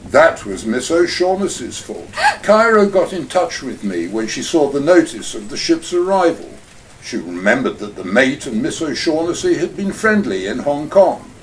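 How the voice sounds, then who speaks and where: A man's voice: on the high side, big pitch swings, moderate pace, far from the mic, a little hiss or hum, low-quality recording. Gutman, a man playing a genteel crime boss. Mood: smooth scheming. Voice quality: formal, elaborate phrasing. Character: portly scheming male crime boss, smooth and genteel. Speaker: actor in community audio drama. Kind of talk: radio play acting.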